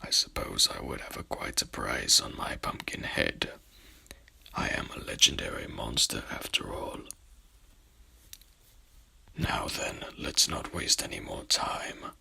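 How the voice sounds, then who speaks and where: A courtly, pompous monster horseman, played by a man, murmurs in an ASMR roleplay. His voice is extremely low and heavily gravelly, breathy and half-whispered, with sharp sibilant edges. He phrases everything in formal, archaic, wordy sentences. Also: sing-song, unhurried, long pauses, exaggerated voice. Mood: courteous, haughty.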